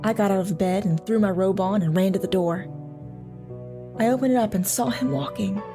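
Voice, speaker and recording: A woman's voice: mid-range voice, normal speed, over music, lightly acted. A woman playing a devoted soldier's wife and mother in an audio drama, telling her story tenderly and reflectively. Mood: wistful, reminiscing.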